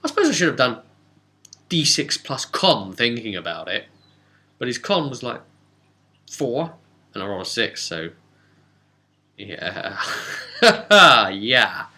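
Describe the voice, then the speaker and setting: A man giving a casual, unscripted recap as a tabletop game master, rambling and animated with hesitant asides. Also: medium pitch, slow, pauses often, a little hiss or hum.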